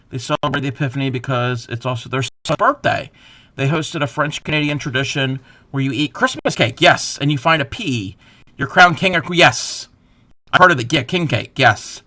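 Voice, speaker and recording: Male crochet streamer, bright voice, hushed stitch counting, easygoing chat with viewers. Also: mid-range voice, dry recording. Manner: counts stitches softly under his breath between chat; laughs at himself, chats back to viewers. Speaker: crochet livestreamer. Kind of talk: casual livestream chat while crocheting.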